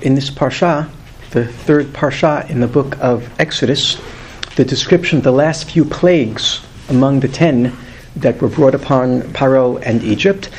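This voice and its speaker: A man's voice: mid-range voice, a little mellow, a little hiss or hum. A man in his fifties teaching a weekly Torah class to a group, earnest and emphatic, lifting his voice on key words. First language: not English.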